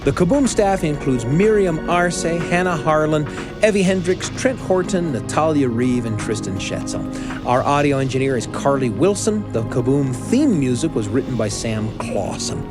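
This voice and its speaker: A man's voice: a little high, expressive, moderate pace, music in the background. A man hosting a family storytelling podcast in a conversational style. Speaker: podcast host.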